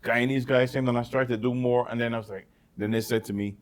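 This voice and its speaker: A man's voice: mid-range voice, fast talker. Man with a Caribbean accent, telling stories. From Barbados. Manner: animated storyteller with many restarts and repeated words. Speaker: podcast co-host. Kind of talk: casual chat, storytelling.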